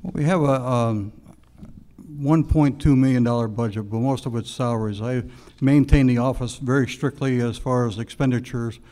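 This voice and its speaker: Guy with a soft dark tone, sitting constable at a forum, kinda halting as he answers. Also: mid-range voice. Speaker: incumbent constable, candidate.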